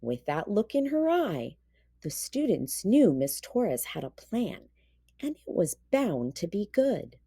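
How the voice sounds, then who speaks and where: A woman narrating a children's storybook read-aloud in an expressive, deliberate way. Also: mid-range voice, breathy edge, dry recording, studio quality.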